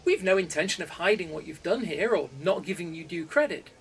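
A man voicing a smooth-talking male schemer with a soothing, calming manner, recorded a bit distant from the mic. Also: sits high, brisk, slight background noise. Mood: persuasive.

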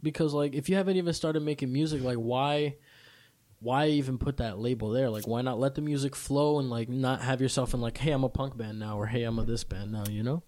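A man's voice: medium pitch, a little fast, warm tone. A man hosting a casual interview, talking in a laid-back, slangy way and swearing freely. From Dominican heritage. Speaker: interviewer, host.

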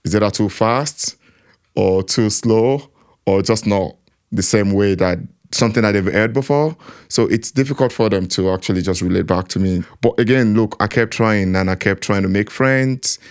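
A man's voice: lowish voice, moderate pace, smooth. A man giving a personal account in an interview, reflective and acting out his own inner voice.

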